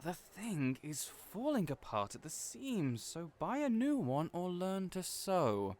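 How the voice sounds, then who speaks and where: A man's voice: high voice, wildly swooping pitch, slow pace, a little mellow, pitched above the performer's own voice, heavily acted. A man voicing a flamboyant, fussy male hairstylist who teases and mothers his clients in an audio roleplay. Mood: teasing.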